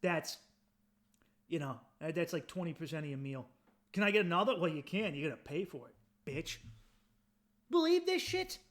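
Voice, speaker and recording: A man's voice: on the high side, big pitch swings, normal speed, long pauses. A man hosting a podcast, riffing through a profane, mock-outraged comedic rant. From United States. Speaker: podcast host.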